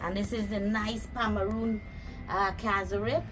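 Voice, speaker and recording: A woman's voice: mid-range voice, fairly even intonation, measured pace, music in the background, rough recording. A woman from Guyana with a Guyanese accent, casually showing a cooking recipe outdoors and chatting with her viewers. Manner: chatty, talks to viewers and pets. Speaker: cooking vlogger. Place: backyard outdoors.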